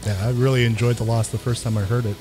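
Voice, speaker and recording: A man's voice: mid-range voice, little pitch movement, fast, music in the background, poor audio. Easygoing male podcast host. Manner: easygoing, brief replies. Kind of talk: casual chat.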